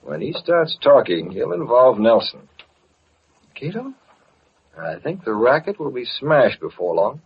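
Old time radio hero, husky, sure of himself, bossy, animated, muffled sound. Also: male voice, mid-range voice, measured pace, pauses often, heavily acted. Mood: assured. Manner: commanding, assured. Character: masked male vigilante who is secretly a newspaper boss. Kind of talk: 1940s radio acting. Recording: muffled vintage radio recording.

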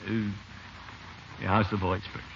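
An actor playing a pompous but soft-hearted middle-aged uncle in a 1950s radio comedy, blustering and hesitant by turns. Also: male voice, sits low, level tone, normal speed, creaky. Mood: awkward, fond. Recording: noisy, muffled old radio recording.

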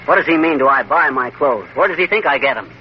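An actor playing a nosy, boastful husband in a 1940s radio comedy, brash and folksy. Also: male voice, mid-range voice, lively intonation, fast talker, natural voice. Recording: dull, hissy old radio recording.

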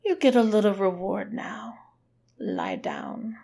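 Sneering, gravelly dominatrix, acted out by a woman. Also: medium pitch, slow, warm tone. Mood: sly, soothing. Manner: sneering, commanding, taunting.

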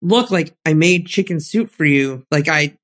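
A woman co-hosting a casual chat podcast, animated and quick to laugh as she retells favorite moments. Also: sits low. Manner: animated, quick to laugh, tells sports stories with enthusiasm. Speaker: podcast co-host.

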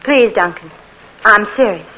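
A spoiled young plantation belle with a drawl. She sounds haughty and scornful and is used to giving orders. Her voice sits at an ordinary middle pitch, like an old-time radio play. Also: expressive, a little slow, pushed and bright, highs cut off. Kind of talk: 1940s radio acting.

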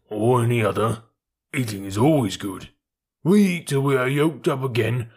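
A man voicing a stolid, fatalistic bullock in a storybook reading, slow, solemn and chanting, with a rough voice. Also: medium pitch. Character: bullocks, stolid, fatalistic yoke of gun oxen speaking slowly.

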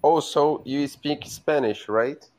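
A man's voice: fairly high pitch, takes it slowly, darkish tone. A young man from Brazil joining a live call as a guest, speaking careful, hesitant second-language English. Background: music playing.

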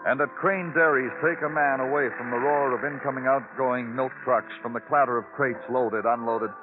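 An American man playing a world-weary police detective in old radio crime drama, narrating poetically and questioning tersely in a brooding, deliberate manner. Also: medium pitch, steady tone, lightly acted. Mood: somber. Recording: tinny old radio broadcast, music behind.

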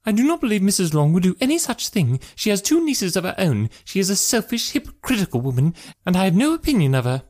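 A man voicing a fretful, gushing middle-aged mother in an audiobook, in a shrill, dramatic way. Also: big pitch swings, quick pace, few pauses, a little mellow. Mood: resentful. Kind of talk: audiobook character voice.

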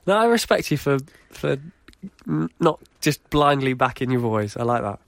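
A man's voice: mid-range voice, big pitch swings, a little slow, creaky, no room sound. Young British male co-host, cheeky banterer, mid-range voice, dry close mic. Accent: British English. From Britain. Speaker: podcast co-host. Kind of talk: casual football podcast chat.

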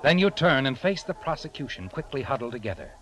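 An actor narrating an old-time radio courtroom drama in the second person, with a suspenseful, deliberate manner. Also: male voice, medium pitch, very animated, lightly acted, poor audio. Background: music.

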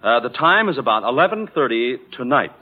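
A man delivering a scripted vintage radio advertising pitch, emphatic and addressing listeners as friends. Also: sing-song, brisk. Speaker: commercial announcer. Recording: dull vintage radio broadcast recording.

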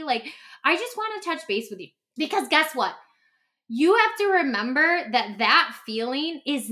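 Woman, high pitch, very bright forceful tone, casual podcast host, emphatic, informal phrasing. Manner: animated, emphatic, acts out imagined quotes; informal, peppered with "like". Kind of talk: casual conversational podcast talk.

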